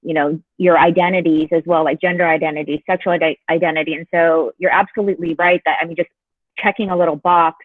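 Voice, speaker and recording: A man's voice: a little high, fairly even intonation, fast talker, no echo. A man from California speaking on a video call forum, thinking aloud with many fillers and restarts. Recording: tinny phone-like call audio.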